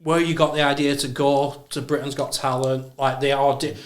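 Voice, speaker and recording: A man's voice: mid-range voice, little pitch movement, moderate pace. A man hosting a podcast, chatting casually and upbeat, laughing often. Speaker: podcast host. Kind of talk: casual interview chat.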